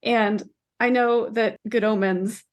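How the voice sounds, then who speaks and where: A woman's voice: on the high side, fairly even intonation, slow pace. A woman in her thirties co-hosting a podcast, talking casually about books in a conversational way. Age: 30s. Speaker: podcast co-host. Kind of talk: casual book discussion chat.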